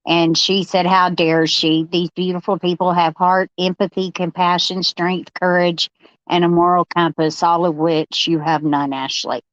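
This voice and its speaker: A woman's voice: on the low side, little pitch movement, a little slow, no echo, dull audio. A woman panelist on a live discussion, talking casually and reading out viewer comments.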